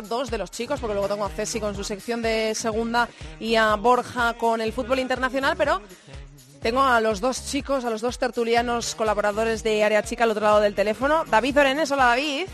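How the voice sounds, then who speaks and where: A woman's voice: on the high side, fairly even intonation, fairly quick, fairly bright tone, faint music behind. Female radio host, Spain accent, informal sports chat. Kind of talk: casual sports talk hosting.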